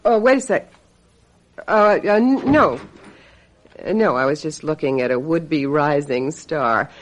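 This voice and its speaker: Female agent role for radio play: bitter, cutting, sardonic, highly varied pitch. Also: animated, unhurried. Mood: breezy, wry. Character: sharp-tongued, embittered female talent agent, secretly a witch. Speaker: actor in a radio drama. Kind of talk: radio drama acting.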